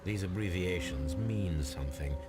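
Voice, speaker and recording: An actor playing a calm, analytical gentleman detective in a video game, musing aloud in measured, precise deductions. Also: low voice, over loud music, lightly acted. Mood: pondering. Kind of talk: video game character voice acting, deliberate deductive monologue.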